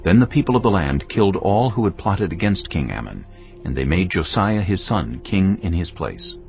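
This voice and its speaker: A man narrating a dramatised scripture reading in a measured, solemn storytelling manner. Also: sits low, sing-song, gravelly. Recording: slightly dull audio with music bed.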